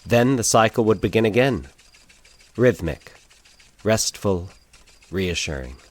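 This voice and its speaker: A man narrating a scripted fiction story in a measured, literary storytelling way. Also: on the low side, expressive.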